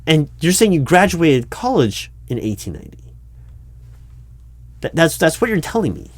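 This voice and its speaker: A man playing a politely incredulous recruiting manager in an improvised audio roleplay, speaking aloud in a halting, stammering way and repeating words. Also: fairly high pitch, very animated. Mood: puzzled, incredulous. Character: flustered, politely incredulous male recruiting manager interviewing someone. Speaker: job interviewer. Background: computer keyboard typing.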